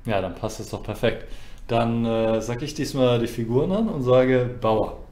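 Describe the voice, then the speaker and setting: A man's voice: medium pitch, moderate pace, warm tone. Man streaming in an echoey room. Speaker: chess streamer and host. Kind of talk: casual livestream chat while playing chess. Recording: roomy, echoing sound.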